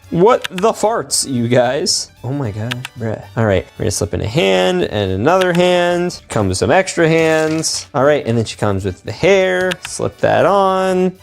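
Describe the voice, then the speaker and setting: A man giving excitable, slangy, playful commentary as he builds toys for a video. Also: fairly high pitch. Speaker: toy-building video host.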